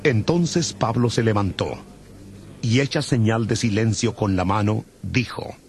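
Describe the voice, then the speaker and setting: A man reading scripted scripture narration in a solemn, measured way. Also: fairly low pitch. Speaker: Bible narrator. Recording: music under the voice.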